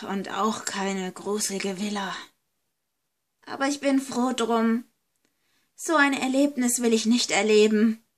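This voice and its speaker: A woman's voice: a little high, slow, long pauses. A woman voicing a timid, apologetic young friend in an amateur horror audio story. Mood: subdued, wistful.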